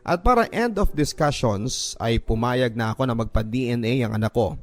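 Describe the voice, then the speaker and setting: A man's voice: medium pitch, normal speed. Filipino man narrating drama over music. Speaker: radio drama host reading a listener's letter. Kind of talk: dramatic first-person scripted narration. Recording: music bed behind the voice.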